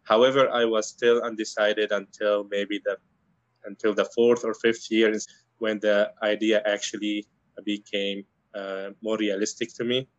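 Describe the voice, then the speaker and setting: A man from Syria, a native Arabic speaker, answering interview questions in a measured, thoughtful way with frequent pauses and restarts. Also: on the low side, no echo, highs cut off. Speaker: guest, internal medicine resident.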